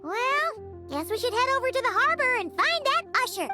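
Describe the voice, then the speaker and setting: A woman's voice: very high-pitched, very animated, brisk, loud music behind the voice. A woman voicing a tiny, chirpy, childlike companion in a video game, chatty and eager. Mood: cheerful. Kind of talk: scripted video game dialogue.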